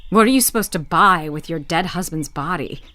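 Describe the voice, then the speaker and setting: A woman's voice: on the low side, expressive, fairly quick, sharp tone. A young woman playing a jaded young widow in an audio drama, speaking in a terse, dry, sardonic way. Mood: wry, weary. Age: young adult. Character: blunt, wry, jaded young widow who paints. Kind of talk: naturalistic audio drama acting.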